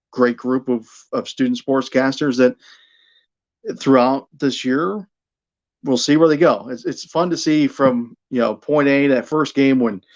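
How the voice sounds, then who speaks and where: Middle-aged American man, slightly dull audio. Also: medium pitch. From Buffalo, New York, United States. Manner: halting, repeats words while thinking. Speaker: guest, radio sports broadcaster. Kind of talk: casual interview conversation.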